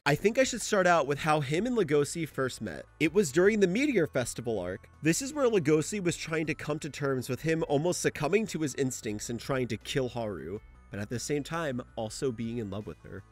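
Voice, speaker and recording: Male video essayist, lightly high voice, very animated intonation, casual scripted commentary, informal, faint music behind. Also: fairly quick. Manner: informal, conversational, mildly sweary. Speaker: video essayist, series host.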